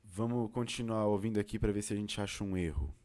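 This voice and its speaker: A man with a Brazilian accent giving an informal software tutorial, explaining casually as an instructor. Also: fairly low pitch, fast. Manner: casual, explanatory, uses diminutives.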